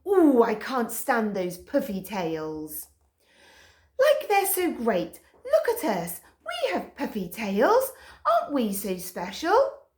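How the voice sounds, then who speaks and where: A woman voicing an excitable, hot-tempered male dog who rants in outrage, in a roomy echo. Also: on the high side, slow pace, voice pushed up, heavily acted. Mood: indignant. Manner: ranting, outraged, dramatic.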